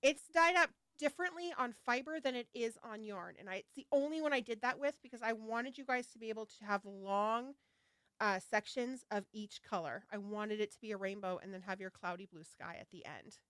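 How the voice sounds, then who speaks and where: A woman's voice: fairly high pitch, brisk, a bit bright, smooth, dry recording. Nasal, chatty woman hosting a livestream. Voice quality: slightly nasal from a head cold. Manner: chatty, scattered, laughing, casual swearing. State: getting over a head cold. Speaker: yarn shop owner hosting a launch livestream. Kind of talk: casual livestream chat.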